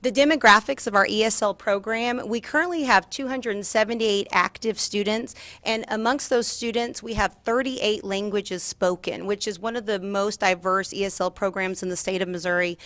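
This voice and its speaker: A woman's voice: mid-range voice, a bit bright, no echo. A woman giving a formal presentation as a school district administrator at a meeting. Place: school board meeting room.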